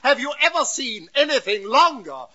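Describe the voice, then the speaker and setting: An actor playing a vain, theatrical ham who declaims in florid, grandiose phrases in a 1940s radio comedy. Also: male voice, extremely high pitch, sing-song, normal speed, very noisy. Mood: indignant, boastful. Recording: dull lo-fi vintage radio recording.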